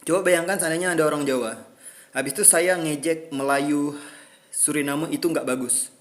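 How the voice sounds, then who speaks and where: Man from riau islands scolding commenters, firm and earnest, fairly high voice. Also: fairly even intonation, moderate pace. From Riau Islands, Indonesia. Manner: firm, scolding but pleading. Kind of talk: earnest appeal to viewers.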